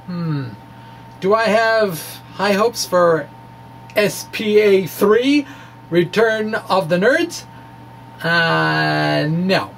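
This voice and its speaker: A man's voice: sits high, expressive, drawn-out words, gravelly, fairly bright tone, slight background noise. A man reading his science blog aloud as an informal explainer, with quirky, emphatic phrasing. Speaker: science blogger presenting physics puzzles. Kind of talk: dramatic reading of a physics blog with asides.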